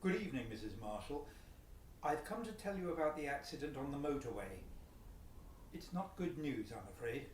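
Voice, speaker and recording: A British actor playing a polite, sober policeman with a formal, measured delivery in a radio play. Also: mid-range voice, sing-song, natural voice. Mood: grave, sympathetic. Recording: echoey, distant, noisy room.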